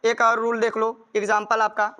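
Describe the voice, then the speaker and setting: A man's voice: high voice, completely flat delivery, normal speed, sharp tone, smooth. A man with a North Indian accent, a native Hindi speaker, teaching grammar in an emphatic, repetitive way and dictating examples word by word. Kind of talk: classroom lesson explanation with dictated examples.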